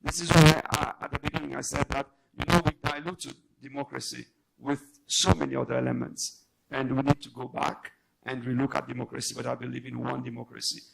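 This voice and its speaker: A man speaking as a journalist on a debate panel, halting but emphatic in his unscripted remarks. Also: mid-range voice, flat delivery, gravelly, fairly bright tone, slight background noise, poor audio.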